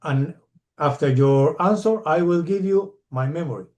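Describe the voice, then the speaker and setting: Male interviewer, higher voice with a mellow tone, halting and repetitive phrasing. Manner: halting, repeats words. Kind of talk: interview questions.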